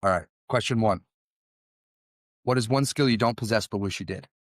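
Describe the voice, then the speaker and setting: A man's voice: lowish voice, moderate pace, dry recording. Man hosting a podcast, dry close sound. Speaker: podcast host. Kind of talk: conversational interview.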